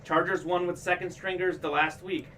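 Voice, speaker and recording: Bright-voiced man chatting sports. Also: sits high, steady tone, distant mic, slight background noise, rough recording. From Bulgaria. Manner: chatty, quick to add sports facts. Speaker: co-host of sports memorabilia stream. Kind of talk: casual chat.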